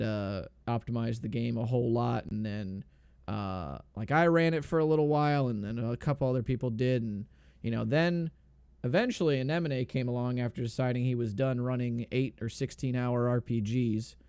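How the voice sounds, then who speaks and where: A man's voice: mid-range voice, vocal fry, darkish tone, clean tone, dry recording. A man commentating a video game speedrun race, explaining in a laid-back way with lots of fillers.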